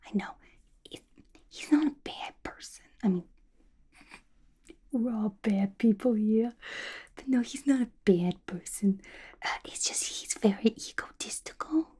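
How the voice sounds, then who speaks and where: A woman playing a young, playful, violent-minded female villain in an ASMR roleplay, gossiping to the listener in a chatty, conspiratorial breathy whisper. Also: mid-range voice. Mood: conspiratorial, dismissive. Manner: chatty, conspiratorial, giggly, colloquial. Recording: clear background noise, soft clicks.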